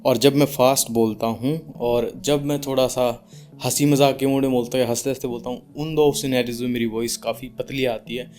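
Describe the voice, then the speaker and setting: A young man with an Indian accent and a deep voice gives informal speaking advice calmly, without strain, mixing in English words and leaving deliberate pauses. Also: faint music behind. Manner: calm, unforced, deliberate pauses; mixes English words into speech. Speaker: speaking coach. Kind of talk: informal advice talk to camera.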